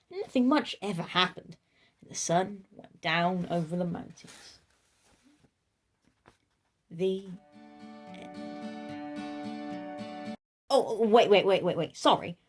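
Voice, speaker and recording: A woman's voice: fairly low pitch, husky. Woman doing a kids storybook read, playful n theatrical, chats right at the listener. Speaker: storyteller reading a children's book. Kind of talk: animated children's storybook narration.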